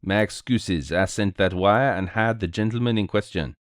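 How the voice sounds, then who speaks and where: A man's voice: on the low side, normal speed, no room sound, clean studio sound, lightly acted. A man voicing a small, cheerful, self-assured detective with a courteous, precise, theatrical manner, in a storybook reading. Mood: placid, courteous.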